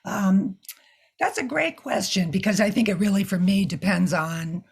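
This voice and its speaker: An older woman with a scratchy, hoarse voice, speaking thoughtfully as an interview guest and sometimes searching for words. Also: mid-range voice, normal speed. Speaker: guest mime artist. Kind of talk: casual interview talk.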